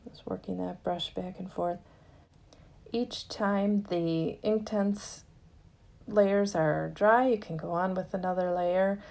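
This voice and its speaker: A woman giving a casual, gently explanatory tutorial narration in an unhurried way, as the host of a craft lesson. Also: lowish voice, fairly even intonation, vocal fry.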